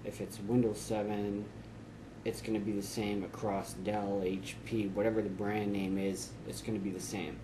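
Low-voiced man giving tech tips. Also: normal speed, background noise. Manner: relaxed, conversational, frequent "uh" fillers. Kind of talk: casual how-to explanation to camera. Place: small office indoors.

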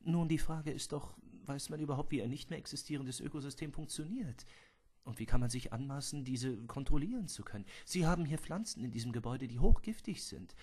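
A man's voice: medium pitch, animated, fairly quick, darkish tone, husky. A man playing a concerned, principled female plant scientist, questioning firmly in a film scene reenactment.

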